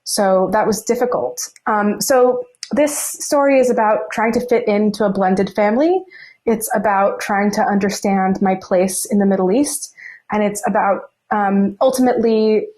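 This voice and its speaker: A woman's voice: mid-range voice, normal speed, a little mellow. A woman, a guest author answering interview questions in a reflective, chatty way. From Los Angeles.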